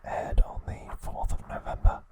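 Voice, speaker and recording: A man narrating ASMR in a hushed, breathy whisper, in short measured phrases. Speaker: ASMR host. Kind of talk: whispered ASMR narration, recounting episode summaries.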